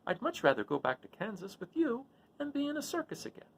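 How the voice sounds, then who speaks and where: A man's voice: high-pitched, huge pitch swings, quick pace, vocal fry, a little hiss or hum, pitched above the performer's own voice, exaggerated voice. A man voicing a chatty little humbug wizard with a showman's grand, explanatory manner in a storybook reading. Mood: earnest, eager. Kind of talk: storybook read-aloud character voice.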